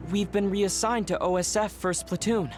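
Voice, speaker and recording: Man acting a polite, youthful high-ranking officer. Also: steady tone, moderate pace, soft background music, close to an everyday voice. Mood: courteous. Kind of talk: video game cutscene voice acting.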